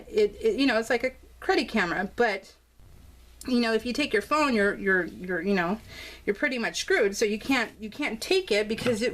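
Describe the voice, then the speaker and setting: A woman's voice: medium pitch, fairly bright tone, a little hiss or hum. An American woman chatting casually and unscripted as a crafting vlogger, with a bubbly, gushing, rambling manner. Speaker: crafting vlogger showing scrapbook pages.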